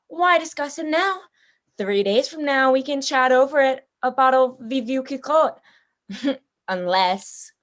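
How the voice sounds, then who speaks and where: A woman's voice: sits high, a bit bright. A woman playing a suave, predatory female general in a radio play, speaking with pompous, toying politeness. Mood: smug courtesy. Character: suave, genteel, predatory female general who hunts people.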